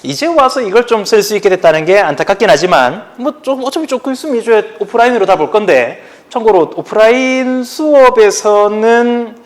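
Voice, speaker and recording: A man with a Daegu accent, teaching a high school history class online in a relaxed, chatty way. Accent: Gyeongsang (Daegu) Korean. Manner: chatty, informal, talks to students directly. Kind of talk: casual online lecture.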